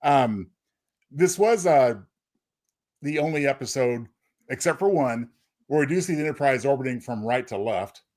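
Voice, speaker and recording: A man with an average-pitched voice chats as a podcast co-host. He explains bits of fan trivia conversationally, with a jocular streak. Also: expressive, normal speed, pauses often, darkish tone. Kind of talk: casual fan trivia chat.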